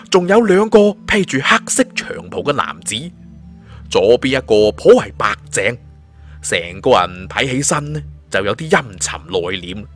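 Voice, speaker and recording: A man's voice: medium pitch, a bit bright. A man with a Hong Kong Cantonese accent narrating a fantasy story in a dramatic storytelling style. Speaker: audiobook narrator. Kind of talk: dramatic scripted storytelling of a suspense fantasy novel.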